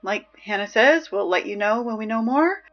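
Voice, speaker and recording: A woman's voice: on the high side, lively intonation, moderate pace, highs cut off, soft background music, lightly acted. A woman playing a flustered, exasperated teenage school announcer in an audio drama, talking in a hesitant, pleading, rambling way. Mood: uneasy. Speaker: morning announcements host.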